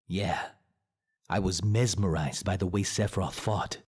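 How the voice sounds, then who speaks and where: A man's voice: sits low, steady tone, unhurried, a little mellow, husky. A man playing an earnest young soldier in a video game, speaking in a reflective way. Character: earnest young male soldier recounting his past.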